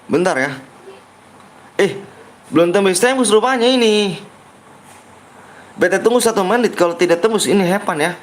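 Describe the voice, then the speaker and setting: A man's voice: high-pitched, animated, normal speed, long pauses, slight background noise. A young man hosting a game livestream in a slightly raised voice. He reads chat aloud, jokes around playfully and keeps nudging viewers to like and subscribe, all in loose, casual talk. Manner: reads viewer comments aloud and banters, pushing likes and subscribes; playful joking persona. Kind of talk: casual live-stream chat.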